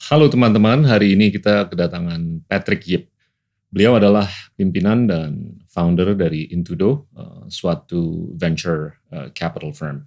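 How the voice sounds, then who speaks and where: A man hosting an interview show, with a very deep voice and a gravelly texture. He speaks in short prompts and asks curious follow-up questions. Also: normal speed, darkish tone. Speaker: podcast host.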